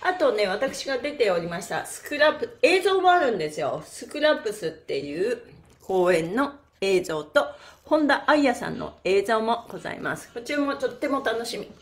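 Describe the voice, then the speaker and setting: A middle-aged woman hosting a live exercise stream in animated, cutesy and polite instructor patter. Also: a little high, normal speed. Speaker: pantomime performer and stretch class host. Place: small indoor room with bare walls. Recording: roomy echo from camera mic.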